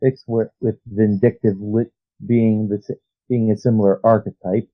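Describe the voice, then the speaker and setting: A man's voice: lowish voice, little pitch movement, moderate pace. A man speaking as a guest writer in a casual interview, rambling and analytical with frequent restarts. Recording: dull lo-fi sound.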